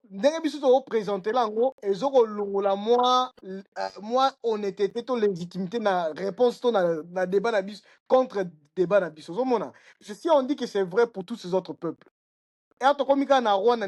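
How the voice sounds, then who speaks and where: A man's voice: sits high, brisk. A man, hosting and asking a long, rambling question with hesitant restarts. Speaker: podcast host. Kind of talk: conversational interview questioning.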